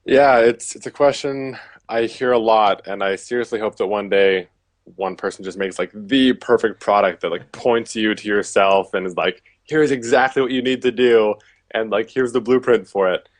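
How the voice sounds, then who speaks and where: A man with a lowish voice talks in a relaxed, conversational way, sprinkling in fillers as he answers interview questions as a coach. The sound is dry and close, with no room around it. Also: moderate pace, no echo. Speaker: relationship coach, guest.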